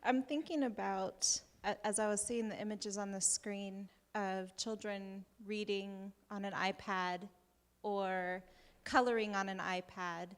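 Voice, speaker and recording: A woman's voice: medium pitch, steady tone, unhurried, clear, resonant tone. A woman in the audience sharing a reflective, conversational question after a talk. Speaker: audience member asking a question. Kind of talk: question from the floor. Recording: long reverb of a lecture hall.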